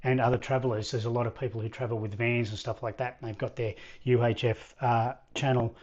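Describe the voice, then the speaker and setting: A man's voice: medium pitch, flat delivery, fairly quick, a little mellow, no echo. A man with an Australian accent chatting casually to viewers as a vlog host, in an easygoing, rambling way. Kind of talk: casual chat to camera. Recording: slightly dull lo-fi audio.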